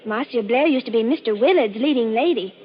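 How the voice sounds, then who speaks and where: An actress voicing a nervous, gossipy young stage actress. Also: female voice, a little high, animated, a little fast, natural voice. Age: young adult. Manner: eager, breathless gossip. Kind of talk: 1940s radio acting. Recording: dull old radio recording.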